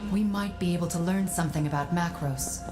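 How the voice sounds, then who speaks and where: A woman's voice: lowish voice, lightly acted. Woman as an echoing, wise game guide. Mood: composed, purposeful. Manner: composed, deliberate, instructive. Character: calm, wise female guide, a sentient sanctum advising a warrior. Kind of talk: video game cutscene acting. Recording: echoing voice over game music.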